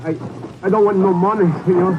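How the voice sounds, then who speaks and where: An actor playing a frightened, humble Mexican villager in an old radio drama, stammering nervously and deferentially with a Mexican accent. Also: male voice, high voice, steady tone, fairly quick, natural voice. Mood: nervous, pleading. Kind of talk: 1950s radio drama acting. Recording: dull, noisy vintage radio recording.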